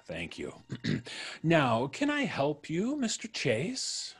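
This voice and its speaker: An actor playing a mysterious, suave intruder in an old time radio mystery, polite, deliberate and mocking, with a slightly husky voice. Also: male voice, fairly high pitch, wildly swooping pitch, full character voice. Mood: mock pleading, teasing. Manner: polite, deliberate, teasing, drawn-out delivery.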